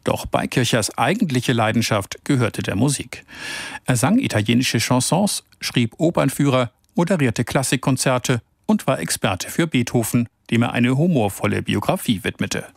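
A male reporter reading a scripted news feature in a measured, even delivery. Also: medium pitch, creaky, slightly rough, no echo, studio quality.

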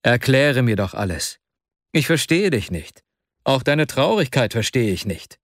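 Man voicing a worried young friend, earnest and urgent, slow, dry close sound. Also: medium pitch, sing-song, dry recording. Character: devoted young male friend, anxious and caring. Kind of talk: audiobook character voice.

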